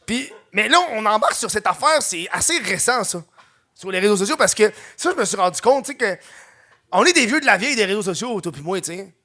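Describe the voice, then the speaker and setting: A young adult man with a Quebec French accent hosts a live comedy podcast. He chats casually, laughs often and acts out quotes in loud mock voices. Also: sits high, expressive, fairly quick. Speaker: podcast host. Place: venue with a live audience.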